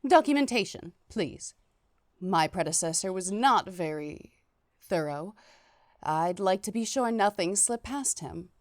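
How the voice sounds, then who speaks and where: A woman's voice: lowish voice, expressive, measured pace, no room sound, studio quality. Woman as an officious, exacting inspector. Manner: formal, clipped, demanding. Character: officious, exacting female inspector, cool and unyielding. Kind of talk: animated comic fandub.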